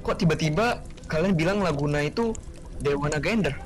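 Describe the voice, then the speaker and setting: A man's voice: fairly high pitch, lively intonation, a little fast, over music, close to an everyday voice. A man playing a confused, anxious wounded hero in a fantasy game roleplay.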